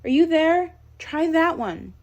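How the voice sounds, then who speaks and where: A woman's voice: high-pitched, expressive, slow pace, a little mellow, muffled recording, voice pushed up, heavily acted. A woman voicing a panicked father leaving an urgent phone message while trapped, calling out and pleading over and over. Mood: desperate. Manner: urgent, pleading, breaking off into repeated calls.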